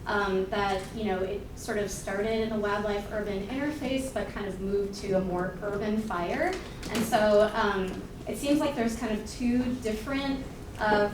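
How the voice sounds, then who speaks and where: A woman in the audience asking a long question loudly, with many fillers. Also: mid-range voice. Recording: echoey hall, far from mic, noise.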